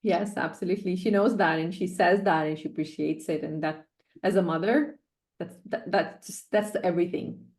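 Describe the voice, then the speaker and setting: A woman in her forties speaks as a podcast guest, her voice sitting a little low. She talks earnestly and repeats her key phrases to drive them home. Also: moderate pace, darkish tone, echoey room. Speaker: guest, sales coach. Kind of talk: personal story in a podcast interview.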